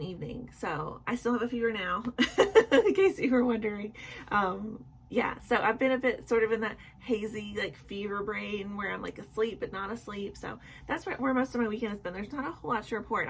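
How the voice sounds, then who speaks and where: A woman's voice: mid-range voice, a bit bright, a little hiss or hum, low-quality recording. A young woman with a sick, sore throat chatting casually in a nightly vlog from home, apologetic and full of ums and likes. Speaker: vlogger.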